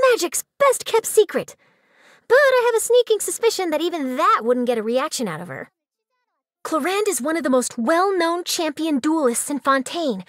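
A woman voicing an excitable, nosy young female newspaper reporter in a video game, perky, dramatic and chatty. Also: high voice, no echo, clean studio sound. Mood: intrigued, determined. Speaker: game character voice actor. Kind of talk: animated scripted game character voice lines.

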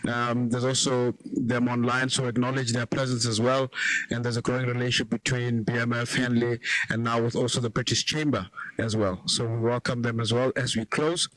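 A middle-aged man from South Africa moderating a panel, wrapping up with loose, relaxed announcements into a handheld mic. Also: medium pitch, brisk, no echo, rough recording. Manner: relaxed, loose with ums and uhs. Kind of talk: event wrap-up announcements. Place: small conference room with audience. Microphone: handheld microphone.

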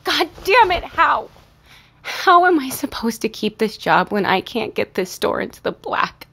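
Audio drama boss: overwrought, bitter woman, high-pitched, upset and tearful. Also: big pitch swings, measured pace, vocal fry, bright, forceful tone, a little hiss or hum, full character voice. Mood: distraught, angry. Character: stressed, bitter adult woman store manager. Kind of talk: naturalistic audio drama acting.

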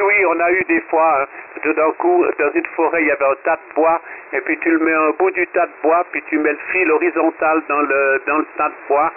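A Swiss French man, a radio amateur, chatting casually on the air and tagging his sentences with "hein". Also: a little high. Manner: chatty, many "hein" tags. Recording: tinny shortwave radio with hiss.

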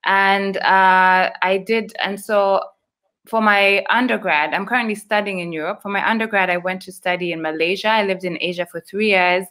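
A woman's voice: medium pitch, steady tone, moderate pace, sharp tone. A young woman from Uganda, a guest on a video call interview, friendly and explaining at length. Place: small room indoors. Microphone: video call webcam.

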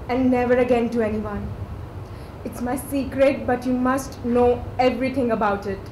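An actress playing a passionate, impulsive sister who speaks with intense emotion. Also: female voice, extremely high pitch, fairly bright tone, heavily acted. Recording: echoey stage audio, faint hum.

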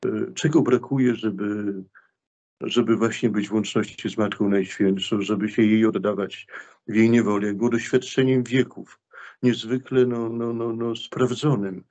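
Middle-aged male priest, lowish voice, earnest and halting, webcam call from a small room. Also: steady tone, a little mellow, lo-fi sound. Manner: earnest, hesitant, many fillers and restarts. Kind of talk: religious talk in a video interview. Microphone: video call webcam.

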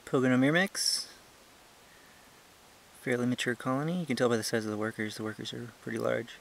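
A young man casually narrating a hiking vlog outdoors on a trail, explaining things in a relaxed, chatty way with many fillers, recorded on the handheld camera he films with. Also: mid-range voice, fairly quick, vocal fry. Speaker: ant-keeping channel host. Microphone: handheld camera he is filming with.

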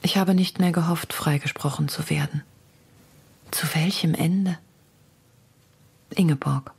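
Anguished woman poet in radio feature. Very breathy, lowish, intimate letter reading. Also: steady tone, unhurried, a little mellow, exaggerated voice. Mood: pleading, despairing. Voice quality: breathy, half-whispered. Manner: intimate, earnest.

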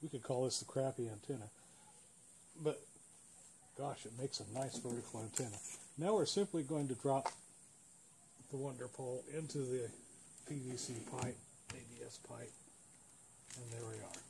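An American man hosts a hands-on demonstration in a casual, plain-spoken way. His voice sits at an average pitch as he explains each step. He is recorded outdoors on a camera mic, with clear ambient noise behind him. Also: moderate pace, long pauses. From United States. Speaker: host, radio hobbyist demonstrating a home-built antenna. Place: outdoors beside a parked car.

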